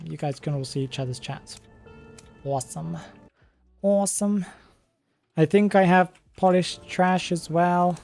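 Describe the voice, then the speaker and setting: Brief: British live streamer, relaxed and chatty, mid-pitched voice, soft music underneath. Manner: chatty, chuckles often, thinks aloud. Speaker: game streamer. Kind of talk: live-stream chat reading viewer comments.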